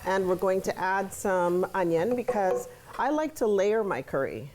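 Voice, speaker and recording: A woman's voice: medium pitch, animated, a little slow, background noise. Female guest cook, mid-range voice, chatty and explanatory, casual demo with sizzle and stirring behind her. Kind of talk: casual cooking demonstration. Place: TV studio kitchen. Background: sizzling pan, stirring, clicks.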